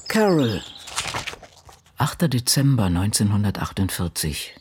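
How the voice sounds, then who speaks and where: A man's voice: fairly low pitch, very animated, unhurried, darkish tone, a little hiss or hum. A man playing an ageing, solitary woman crime novelist who reflects and reads from diaries in a literary radio drama. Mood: musing, remembering.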